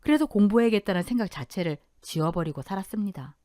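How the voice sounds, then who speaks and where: Lively woman telling a story. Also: mid-range voice, fast, no echo, clean studio sound. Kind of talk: first-person radio story narration.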